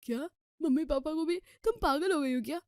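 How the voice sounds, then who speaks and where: A woman's voice: high voice, brisk, a little mellow, no echo, studio quality, pitched above the performer's own voice, lightly acted. A woman voicing a sulky, scheming young husband who complains with exasperation in a family story. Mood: sulky, indignant.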